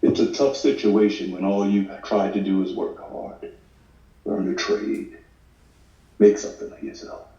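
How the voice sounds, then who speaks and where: Reflective male drama narrator acted, dark low tone, musing, dull and very roomy sound. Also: flat delivery, a little slow. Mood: sombre, reflective. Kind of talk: scripted voice-over at a table read. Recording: muffled, echoey, distant mic.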